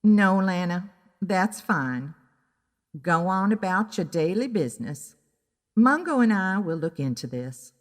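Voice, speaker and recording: A woman playing a wry, easily annoyed private investigator who narrates her own story in a deliberate, dramatic way. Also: lowish voice, animated, big-room sound. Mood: exasperated. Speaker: narrator and lead character of an audio drama. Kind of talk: scripted first-person detective story narration.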